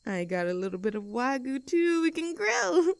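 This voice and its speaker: A woman's voice: high voice, sing-song, normal speed, vocal fry, dry recording, heavily acted. A woman voicing a cheerful, easygoing young tomboy friend in an intimate roleplay audio drama, talking in a casual, chatty and affectionate way. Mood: excited, chatty.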